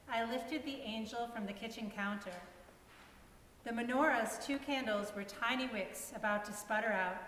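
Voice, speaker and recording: A woman's voice: on the high side, fairly bright tone. A woman reading a story aloud in a dramatic narration. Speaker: reader in a church staff dramatic reading. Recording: echoey hall, distant mic, faint noise.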